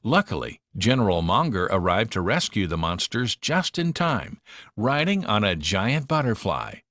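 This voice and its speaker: A man narrating a children's storybook in an expressive, dramatic storytelling manner. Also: fairly low pitch, moderate pace, no echo, studio quality.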